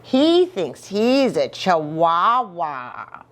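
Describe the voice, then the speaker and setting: A woman's voice: on the low side, sing-song, very slow, pitched below the performer's own voice, full character voice. A woman voicing a teasing, smug little sister kitten in a storybook, crooning and tattling.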